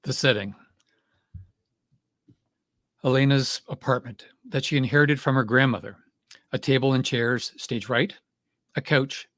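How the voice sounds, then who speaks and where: A man's voice: medium pitch, a bit bright, no echo. Dry-recorded man reading stage directions. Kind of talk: scripted narration of stage directions.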